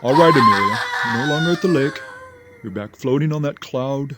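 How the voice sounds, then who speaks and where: An actor playing a calm, reassuring hypnotist doctor in an audio drama, with a measured, soothing, commanding delivery. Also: male voice, mid-range voice, heavy background noise, close to an everyday voice. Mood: firm, reassuring.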